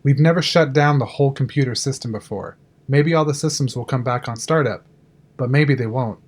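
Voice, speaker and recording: A careful technician, played by a man speaks in an average-pitched voice. He sounds worried yet matter-of-fact, in audio drama style. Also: brisk, natural voice. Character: cautious, worried control-room technician.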